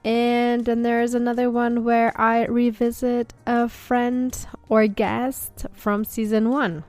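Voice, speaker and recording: A woman hosting a podcast, chatting in a relaxed way with plenty of fillers. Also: a little high, slow, faint music behind. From Germany. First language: German. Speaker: podcast host. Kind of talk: casual podcast interview. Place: home in Texas, on a call.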